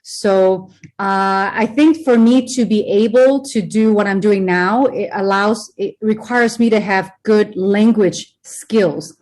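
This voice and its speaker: Woman, Hong Kong-born and US-raised, interview guest, casual and chatty, fillers and restarts, mid-pitched voice, computer call audio. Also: slow, hardly any pauses, darkish tone. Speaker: guest, presenter and communication coach. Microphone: home computer on a video call.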